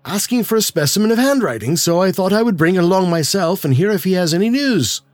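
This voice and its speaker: A man voicing a polite, earnest gentleman who speaks courteously and eagerly. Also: high-pitched, lively intonation, fast talker, no room sound, soft background music, pitched above the performer's own voice.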